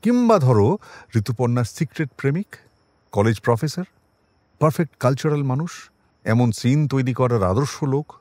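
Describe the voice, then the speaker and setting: An actor playing a thoughtful, unhurried detective in an audio drama, musing and explaining deliberately. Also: male voice, mid-range voice, lively intonation, warm tone, no echo, close to an everyday voice. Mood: reasoning. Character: thoughtful, unhurried male detective reasoning through suspects.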